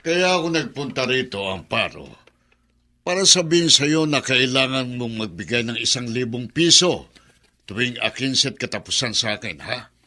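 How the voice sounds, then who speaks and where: A man playing a greedy, domineering middle-aged brother-in-law with a Filipino accent, forceful and sneering in an audio melodrama. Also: mid-range voice, lively intonation, normal speed. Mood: pushy demanding. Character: greedy, bullying brother-in-law, domineering older male villain. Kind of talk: melodramatic radio drama acting.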